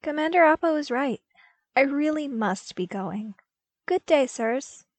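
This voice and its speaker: A woman acts out an earnest young man from an audiobook story. He is animated and emphatic, quick to get baffled or exasperated. The sound is dry, with no room on it. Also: high voice, no room sound, voice pushed up. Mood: polite, brisk. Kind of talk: audiobook character acting.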